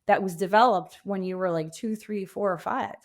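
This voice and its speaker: A woman's voice: medium pitch, normal speed. A woman answering as a guest coach in a podcast interview, speaking informally and emphatically, often acting out quoted thoughts.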